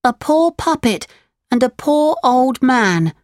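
A woman's voice: high voice, sing-song, slow, no room sound, clean studio sound, voice pushed up, exaggerated voice. A woman playing an earnest, plucky young wooden puppet boy in a children's storybook. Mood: polite, pleading. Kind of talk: children's storybook character reading.

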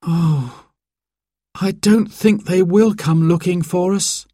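A man's voice: high voice, unhurried, dry recording, studio quality, voice pushed up. A man voicing an anxious, apologetic young boy who is eager to help, in a children's story. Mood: miserable.

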